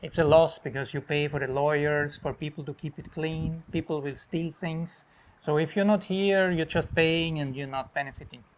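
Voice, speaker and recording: A middle-aged man from Austria, a hotel owner, talking in a relaxed and rambling way with restarts while walking his jungle property. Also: a little high, moderate pace, slight background noise, rough recording. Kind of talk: casual interview on a property tour.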